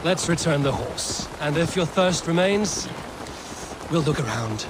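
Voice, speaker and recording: An actor playing a stern, steady Viking leader in a video game, terse, dry and commanding. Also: male voice, fairly high pitch, a little slow. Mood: calm, practical. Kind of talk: video game dramatic acting. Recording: outdoor ambient noise, rain behind.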